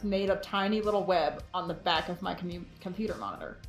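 A woman's voice: lowish voice, fast, echoey room, faint music behind. A woman chatting casually and comedically as a podcast guest, with a playful, mock-boastful, joking manner. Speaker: podcast guest, fiction writer.

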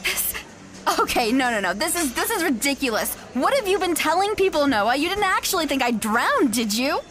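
A woman's voice: high voice, very animated, moderate pace, a little hiss or hum. An actress playing a vain, indignant young con-artist girlfriend in an audio drama, haughty and condescending. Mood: indignant, mocking. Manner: haughty, sharp-tongued, condescending.

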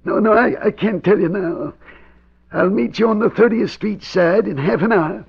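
An actor playing a cunning old man, nervous but defiant, with a thin voice and halting delivery in an old radio crime drama. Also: high voice, gravelly, breathy edge, heavily acted. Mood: worried, secretive. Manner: halting, drawn-out delivery with hesitations. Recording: dull, band-limited vintage radio recording.

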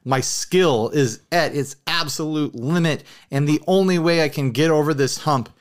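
A man chatting casually as a podcast co-host, telling stories in an animated way and slipping into mock quotes. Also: on the high side, moderate pace. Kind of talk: casual chat about hobby gear.

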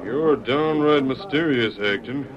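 An actor playing a wary, cagey Old West cafe owner with curt, folksy talk in an old radio western. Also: male voice, mid-range voice, a little slow, crowd noise, close to an everyday voice. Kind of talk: 1940s radio western acting. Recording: dull, lo-fi old radio recording.

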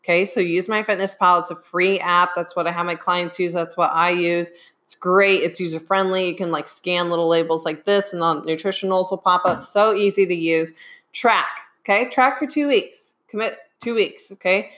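A young adult woman who coaches fitness, giving live advice with a voice worn hoarse by a sinus infection and often checking in with "okay?". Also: fairly low pitch, steady tone, normal speed, fairly bright tone. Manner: keeps asking "okay?". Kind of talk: live-streamed advice talk.

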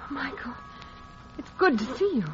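An actress playing a gentle, guarded woman reunited with a lost love in a classic radio drama, halting yet playful. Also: high voice, big pitch swings. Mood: flustered. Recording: muffled old radio broadcast, music behind.

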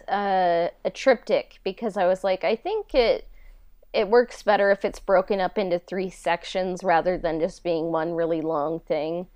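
Lower-pitched, smooth-voiced woman chatting casually. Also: darkish tone, no echo. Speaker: podcast guest, audio drama writer. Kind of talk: casual chat.

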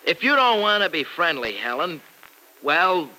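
An actor playing an earnest, plain-spoken young farmer with folksy, insistent delivery in an old-time radio soap. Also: male voice, fairly high pitch, big pitch swings, unhurried, bright, forceful tone, full character voice. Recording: noisy vintage radio recording.

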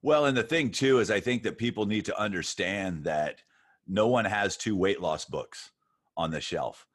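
A man's voice: fairly low pitch, normal speed. A man hosting a podcast, explaining his points with everyday analogies in an engaged way. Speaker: podcast host, music business coach. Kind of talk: casual podcast interview.

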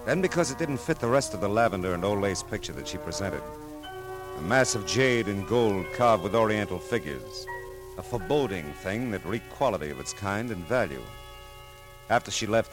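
Deep-voiced, wry American private eye. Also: male voice. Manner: dry, wisecracking. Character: wry, flirtatious hard-boiled male private investigator narrating his case. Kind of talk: old-time radio detective drama, first-person narration. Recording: vintage radio recording with music behind.

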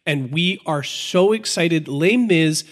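A congested American man with a slightly high voice co-hosts a podcast. He sounds nasal and a bit gravelly, and he keeps the casual chat cheeky and upbeat. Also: animated, slow. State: congested from a sinus infection. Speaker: podcast co-host.

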